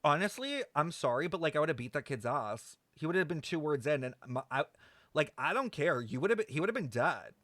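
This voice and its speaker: A woman's voice: deep voice, sing-song, fairly quick, a bit bright, no room sound. A woman streaming games, giving casual, profane, blunt reaction commentary with exaggerated outrage. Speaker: game streamer.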